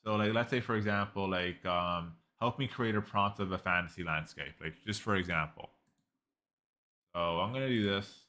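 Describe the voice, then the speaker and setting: A man's voice: low voice, normal speed, sharp tone. A man casually reviewing an AI tool, rambling with lots of "like" and restarts. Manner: rambling, heavy use of "like", frequent restarts. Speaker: tech reviewer. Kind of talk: casual screen-recorded software review.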